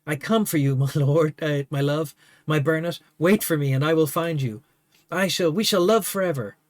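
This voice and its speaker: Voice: mid-range voice, normal speed, dry recording, lightly acted. A reader voicing Liaya, a heartbroken young woman in a stage tragedy, wailing in an overwrought, hammy way. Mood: resolute.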